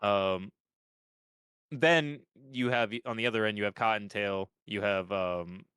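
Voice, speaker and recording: A man's voice: on the low side, measured pace, a bit bright, clean tone, dry recording. A young man with a stuffed-up nose, chatting on a podcast in a rambling way, with lots of restarts and casual swearing. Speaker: podcast host.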